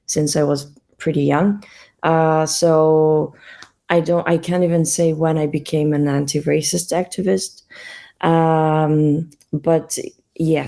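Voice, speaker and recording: A woman's voice: low voice, gravelly, mellow. A young adult woman from Russia, a guest answering interview questions hesitantly, with many fillers and restarts. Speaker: guest, NGO co-founder.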